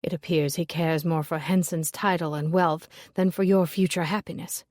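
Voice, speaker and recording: A woman voicing an earnest, lovesick young gentleman, speaking low and hushed. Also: fairly even intonation, moderate pace, dry recording, studio quality, close to an everyday voice. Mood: hushed pleading.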